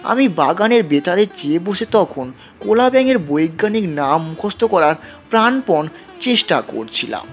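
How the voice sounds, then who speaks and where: Male storyteller, dramatic scripted narration, lively swings in pitch, music underneath. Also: moderate pace. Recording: dull, lo-fi sound.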